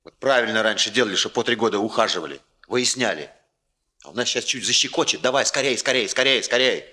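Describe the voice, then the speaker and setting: Upright young komsomol type in a soviet radio play, talks fast, blunt and preachy, lively pitch. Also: male voice. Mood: reproachful. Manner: admonishing, blunt, brisk. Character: upright young factory Komsomol organizer, blunt, lecturing friend.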